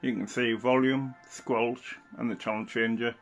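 A man's voice: medium pitch, fairly even intonation, a little slow, faint music behind. A man giving an informal, unscripted hands-on demonstration, talking hesitantly and sometimes trailing off. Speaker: presenter showing vintage radio gear. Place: indoors at a worktop.

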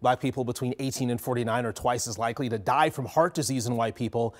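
An upbeat man anchoring morning TV news at a studio desk, talking into a lapel mic. He is conversational and emphatic, and his voice sits at a medium pitch for a man. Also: fairly even intonation, fast. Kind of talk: studio news presenting and chat.

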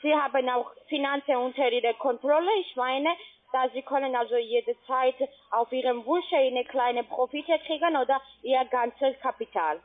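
A high-pitched woman works through a phone sales pitch. She is a non-native German speaker with a foreign accent and keeps adding little checking questions. The sound is narrow and muffled, like a telephone call. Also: moderate pace. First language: not German. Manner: frequent checking tag questions. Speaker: call centre sales agent.

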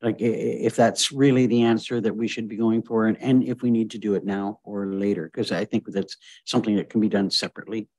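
A Canadian man chairing a council meeting over a video call, deliberate and repeating words while he thinks. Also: on the low side, steady tone, a little mellow, dry recording.

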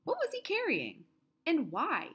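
A woman reading a children's picture book aloud in an expressive style, with deliberate, dramatic phrasing. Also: high voice. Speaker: storybook reader.

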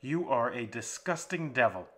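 A man's voice: fairly low pitch, a little slow. A man voicing a defiant tortured captive. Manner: desperate, then firmly defiant. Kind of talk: dramatic audiobook reading. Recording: steady background hiss.

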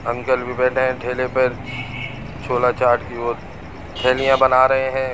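A man slowly narrating a market street tour from a bike, with traffic and crowd noise behind him. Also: mid-range voice, completely flat delivery. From Pakistan. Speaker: vlogger. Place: busy market street outdoors. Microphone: camera on a moving bike. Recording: noisy outdoor street recording.

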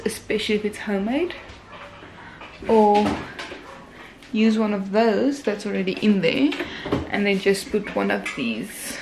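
A woman's voice: medium pitch, noisy background. A young woman vlogging at home, chatting casually to the camera while filming on a handheld phone in her kitchen and bathroom. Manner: rambling, talks straight to the camera while cooking. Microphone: handheld phone camera at arm's length.

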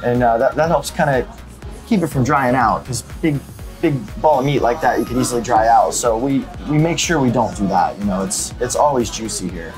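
A man's voice: medium pitch, moderate pace, a little mellow. Easygoing young man, rambling, with music underneath. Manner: easygoing, rambling with many fillers and restarts. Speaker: restaurant owner. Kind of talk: casual interview about the menu. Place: indoors at a restaurant table.

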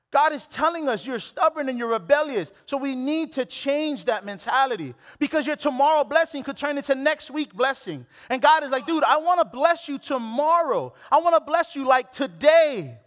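A man in his late twenties from Hawaii, preaching a sermon on a church stage with a headset mic, animated and full of restarts. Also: very high voice, moderate pace. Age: late 20s. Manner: animated, exclamatory, with many restarts. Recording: long hall reverb.

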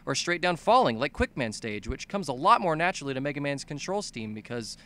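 A man doing excitable comedic video game commentary, emphatic and full of swearing. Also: fairly high pitch, a little fast. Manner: emphatic, sweary, bursts into shouting. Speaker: video essay narrator, fan dubbing a game review.

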